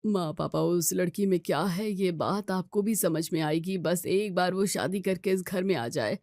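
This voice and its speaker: A woman's voice: fairly low pitch, normal speed, a little mellow, no echo, clean studio sound, lightly acted. A woman voicing a devoted, stubborn young son in an audio story, earnest and persuasive. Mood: pleading.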